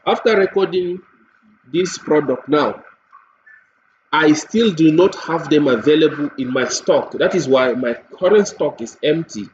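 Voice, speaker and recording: A man with a Nigerian accent giving a software tutorial walkthrough, explaining step by step and repeating points with everyday examples. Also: on the high side, measured pace. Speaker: software demonstrator. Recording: dull lo-fi mic with faint noise.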